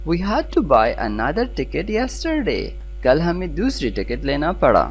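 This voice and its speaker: Pakistani-accented grammar instructor, mid-pitched, very varied intonation, deliberate enunciation, faint humming noise on the recording. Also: very animated. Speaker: English grammar teacher. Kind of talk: scripted lesson, explaining and reading example sentences.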